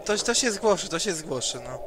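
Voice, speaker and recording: A man voicing a carefree, strong young male mutant who rambles and jokes, in a tabletop roleplay with loud background noise. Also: high voice, very animated, moderate pace. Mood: joking. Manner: joking, rambling.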